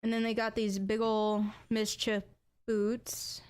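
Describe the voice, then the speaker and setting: A young adult woman with an accent, chatting casually as a game streamer and bursting into excited exclamations. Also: on the high side, takes it slowly, clear, resonant tone. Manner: chatty, bursts into excited "ooh"s over items. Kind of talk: casual live-stream chat.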